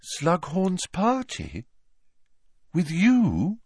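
A man voicing a dreamy, high-pitched young schoolgirl. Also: sing-song, measured pace, dry recording, clean studio sound. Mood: pleased surprise. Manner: vague, wondering, matter-of-fact. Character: dreamy, airy teenage schoolgirl, candid and kind. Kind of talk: audiobook character voice.

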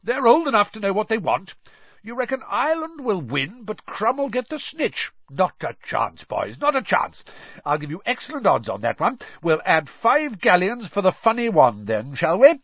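A man voicing a jovial, boyish middle-aged wizard official in a storybook, booming and eager. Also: very animated, moderate pace, husky, dry recording, dull audio, exaggerated voice. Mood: exuberant. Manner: booming, eager, cheerfully careless.

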